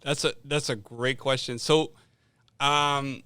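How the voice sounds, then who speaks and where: A man's voice: medium pitch, fairly even intonation, takes it slowly, bright, forceful tone, smooth, no echo. A man speaking as a podcast guest, telling personal stories casually in an informal, sweary way and often checking in with "right?".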